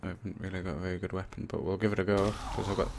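A man's voice: sits low, level tone, rapid-fire. A man chatting casually while he plays a co-op video game. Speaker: gamer playing co-op in a walkthrough video. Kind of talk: casual gameplay chat. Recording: loud game noise behind voice. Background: video game sound effects.

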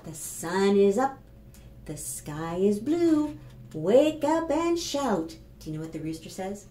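A woman in her fifties reads a picture book aloud to preschoolers, expressive and instructive, addressing the children directly. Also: medium pitch, slow. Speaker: children's storytime librarian. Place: small plain room indoors. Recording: roomy echo, faint background noise.

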